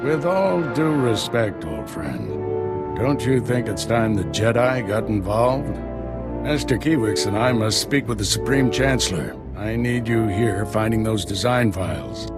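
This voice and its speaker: A man's voice: fairly low pitch, animated, a little slow, over loud music. Authoritative male Jedi master. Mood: solemn, firm. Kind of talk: video game dialogue acting.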